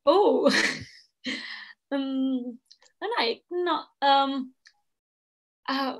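A woman's voice: high voice, very slow, noisy background. A young woman from Spain with a Spanish accent, chatting on a video call, hesitant with many fillers. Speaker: guest language assistant. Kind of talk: casual video call chat. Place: indoor room at home. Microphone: laptop webcam video call.

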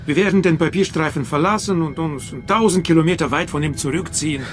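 A sceptical but accommodating ship's lieutenant played by a man gives brisk orders in a radio play. His voice sits high for a man. Music runs behind it. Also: close to an everyday voice. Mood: sceptical, placating. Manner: clipped questions and orders. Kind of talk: radio drama acting.

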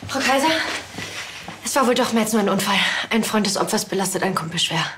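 A woman's voice: medium pitch, lively intonation, fast talker, noisy background, close to an everyday voice. A young woman playing an eager, earnest police officer in a TV crime drama. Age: young adult.

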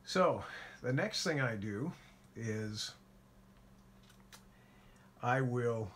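A man calmly walking through his ham radio station setup in a casual how-to, with lots of filler words. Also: mid-range voice, very slow, a little hiss or hum. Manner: relaxed, folksy, frequent "uh" fillers. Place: home radio shack by a window.

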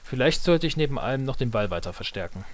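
A man's voice: mid-range voice, lively intonation, fast. A man giving casual let's play commentary, muttering and thinking aloud to himself. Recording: game music behind the voice. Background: video game music.

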